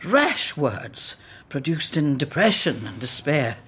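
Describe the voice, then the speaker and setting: A proud older Victorian matriarch in a radio drama, low-voiced and swooping with expression. She is blunt and protective, handing down formal, emphatic judgements. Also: very animated, slow pace, a bit bright, breathy edge, full character voice. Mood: indignant. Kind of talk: period radio drama acting.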